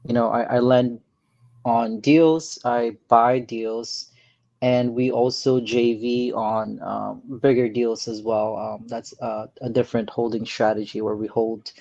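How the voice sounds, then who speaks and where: A man from the Philippines, a real estate investor, talking as a guest in a casual interview, earnest and soft-spoken with many pauses. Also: medium pitch, little pitch movement, creaky, no room sound, dull audio.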